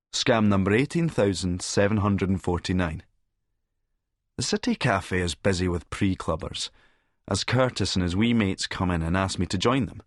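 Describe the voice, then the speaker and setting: A man's voice: deep voice, no room sound, clean studio sound, natural voice. A man playing a cynical middle-aged Scottish schemer who narrates in a verbose, sardonic, lecturing manner. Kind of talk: first-person audiobook narration with dialogue.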